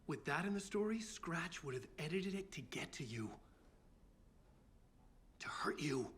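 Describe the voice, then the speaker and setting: An actor playing a haunted writer in a video game, speaking solemnly and deliberately, like dramatic narration. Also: male voice, on the high side, very animated. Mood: grave, ominous. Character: grave, haunted male writer narrating his ordeal. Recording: clear background noise.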